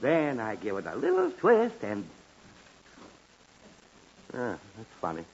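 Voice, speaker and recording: A man's voice: lowish voice, wildly swooping pitch, slow, darkish tone, exaggerated voice. An actor playing an exasperated, blustering middle-aged father in an old time radio comedy. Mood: confident, then puzzled. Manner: flustered, blustering, sputtering. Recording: dull, hissy old radio recording.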